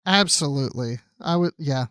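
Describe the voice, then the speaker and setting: A man's voice: a little high, normal speed, fairly bright tone, clear, resonant tone, no echo, studio quality. A man co-hosting a podcast in casual, chatty conversation, readily agreeing with his partner. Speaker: podcast co-host.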